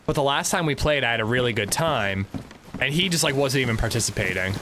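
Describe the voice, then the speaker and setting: A man's voice: medium pitch, animated, quick pace, noisy background. A man streaming a video game, chatting casually with his chat in a rambling, chatty way and swearing freely. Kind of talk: casual livestream chat while gaming.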